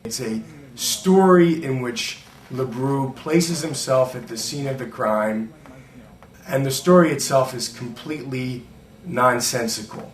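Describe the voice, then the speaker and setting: A man's voice: medium pitch, measured pace. A man giving expert commentary in an interview over a speakerphone. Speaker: academic expert on false confessions. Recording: roomy, distant speakerphone with faint noise.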